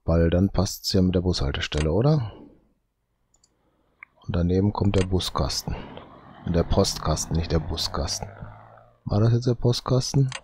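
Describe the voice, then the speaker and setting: A man with a cold, thinking aloud slowly as he comments on his own gameplay, often tagging lines with "ne?". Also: low voice, animated, a little mellow, a little hiss or hum. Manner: muttering, drawn-out, tags lines with "ne?". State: has a cold. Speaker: let's play host.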